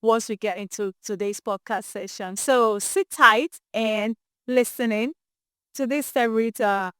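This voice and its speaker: High-voiced Nigerian woman hosting a podcast. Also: a little slow, pushed and bright, smooth, no room sound, studio quality. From Imo State, Nigeria. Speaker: podcast host. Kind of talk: podcast interview introduction.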